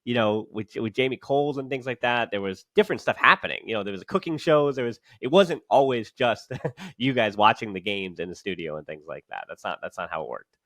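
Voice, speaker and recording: A man's voice: lowish voice, animated, brisk, fairly bright tone, dry recording. A man hosting a casual podcast, talking in long rambling sentences with many restarts and fillers. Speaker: podcast host.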